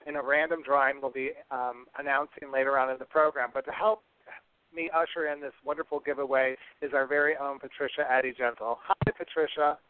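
A man's voice: fairly high pitch, no echo, phone-quality sound. A man hosting a podcast in an upbeat, scripted presenting style. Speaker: podcast host.

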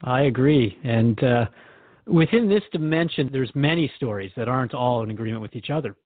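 A man's voice: mid-range voice, expressive, fairly quick, dry recording. Inquisitive male radio host, dry phone-like sound. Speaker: radio show host interviewer. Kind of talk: conversational interview in a radio drama.

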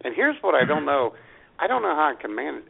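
A man's voice: a little high, big pitch swings, fast, gravelly, breathy edge. A man co-hosting a podcast, making wry, self-mocking jokes in casual banter. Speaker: podcast co-host. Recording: tinny phone-like sound.

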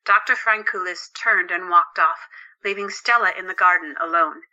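A woman's voice: fairly low pitch, moderate pace, pushed and bright, muffled recording. A woman narrating a scripted story as the narrator of an audio drama.

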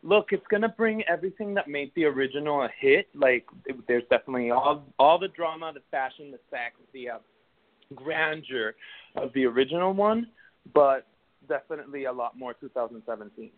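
Venezuelan actor in his 30s, hesitant phone interview guest, mid-pitched, tinny line. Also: fairly quick. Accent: Venezuelan Spanish. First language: Spanish. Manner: hesitant, many fillers and restarts. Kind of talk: casual interview answers.

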